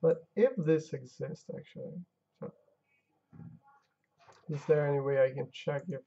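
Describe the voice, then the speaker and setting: Tired, gravelly-voiced game developer streaming. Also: male voice, on the high side, fairly even intonation, unhurried, mellow. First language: Albanian. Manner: muttering to himself while testing, talking to chat. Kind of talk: casual live-stream chat while coding. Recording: dull lo-fi stream audio.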